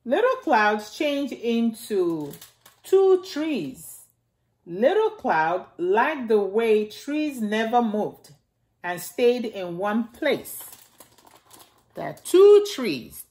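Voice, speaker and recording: A middle-aged woman hosting a storytime, reading aloud to small children in simple, deliberate phrases and repeating key words. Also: fairly high pitch, big pitch swings, darkish tone. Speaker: storytime host reading a picture book to young children. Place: indoors in a home, beside a staircase.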